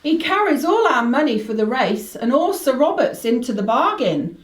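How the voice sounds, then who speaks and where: A woman's voice: high-pitched, sing-song, normal speed. An actress playing a chatty, deferential country inn landlady in a radio play, folksy and emphatic. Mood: obliging, chatty. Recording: roomy, echoing radio play recording.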